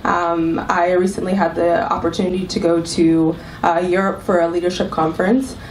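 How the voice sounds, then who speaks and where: A woman's voice: on the low side, flat delivery, normal speed, darkish tone. A woman with a cold, speaking as a panelist at a live arts event, thoughtful and full of ums and restarts. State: has a cold. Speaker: panelist, arts executive director. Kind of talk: panel talk to a live audience. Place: club event room. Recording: echoey room, mic from far off, faint hiss.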